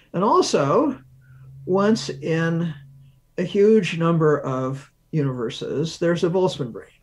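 A man giving a measured, deliberate explanation as an expert guest in a science interview, stressing key words. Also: high voice, darkish tone. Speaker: guest research professor, physicist.

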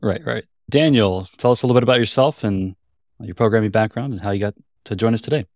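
A man's voice: fairly low pitch, fast talker, a little mellow, no echo, clean studio sound. A man co-hosting a podcast, moving between scripted introductions and casual interview questions. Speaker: podcast co-host, senior developer.

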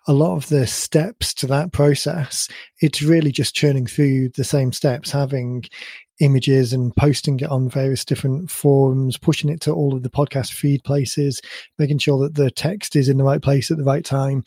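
A man co-hosting a podcast in casual chat, his voice a bit croaky, thinking aloud in long rambling sentences. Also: medium pitch, fairly even intonation, moderate pace, warm tone, no echo, clean studio sound. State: slightly croaky voice. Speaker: podcast co-host.

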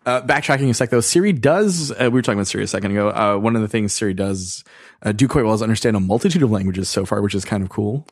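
A man's voice: fairly low pitch, slightly rough, dry recording, clean studio sound. A man co-hosting a casual tech podcast, joking and wry as he talks through the news. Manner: wry, joking, quick asides. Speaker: podcast co-host.

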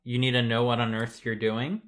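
Relaxed speaker. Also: mid-range voice, moderate pace. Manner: relaxed, reflective, frequent ums and uhs. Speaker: programmer. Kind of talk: casual conversation.

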